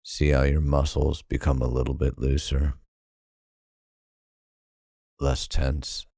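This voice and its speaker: A man narrating a guided sleep hypnosis in a calm, soothing, unhurried manner with long pauses. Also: a bass voice, vocal fry, no echo, studio quality.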